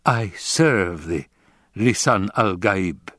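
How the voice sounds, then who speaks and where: A man's voice: mid-range voice, very animated, measured pace, darkish tone, husky, dry recording, clean studio sound, voice pushed up. A man playing a weary, guarded military man, bitter and terse, in an audiobook story. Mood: hesitant, resolved.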